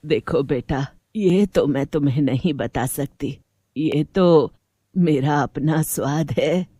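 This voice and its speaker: Woman acting a slow, sinister old witch granny. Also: low voice, dark tone, breathy edge, no room sound, studio quality, exaggerated voice. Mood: sly, guarded. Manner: slow, menacing, cackling. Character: sinister old village granny pickle-seller, secretly a witch. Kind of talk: Hindi horror cartoon voice acting.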